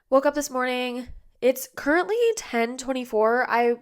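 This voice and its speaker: A young woman in her twenties hosting a podcast from her bedroom, chatting casually, a bit nasal and congested, tired but bubbly, with lots of fillers. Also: fairly high pitch. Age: 20s. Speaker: podcast host. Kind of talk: casual chat. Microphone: iPad on a tripod.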